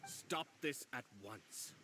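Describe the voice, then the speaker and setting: An actor playing a composed, courtly young nobleman in a video game, polite, calm and quietly commanding. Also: mid-range voice, slow pace, heavy background noise. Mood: coolly stern.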